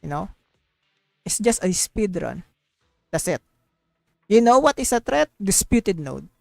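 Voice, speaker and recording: Girl streamer chatting about games, mid pitch, rambly n opinionated, repeats herself a lot, super dry close mic. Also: very animated, a little slow, vocal fry, no room sound, faint music behind. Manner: rambling, repeats phrases for emphasis. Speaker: live streamer. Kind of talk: casual gaming commentary to stream viewers.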